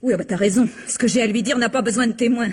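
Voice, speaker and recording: An actress playing a vulgar, jealous starlet, sarcastic and vengeful, in a French radio crime drama. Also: female voice, on the high side, rapid-fire, husky. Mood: menacing. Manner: sarcastic, cutting, confrontational.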